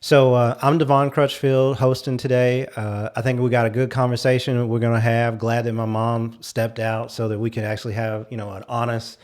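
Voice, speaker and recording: Male panel host, mid-pitched voice, earnest and conversational, reasons things out aloud. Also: level tone, normal speed, few pauses, darkish tone, soft background music. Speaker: show host.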